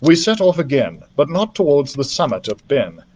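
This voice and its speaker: Higher-pitched man reading a storybook aloud. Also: sing-song, normal speed, a little mellow, dull audio, slight background noise. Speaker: library storyteller. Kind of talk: expressive audiobook-style story reading.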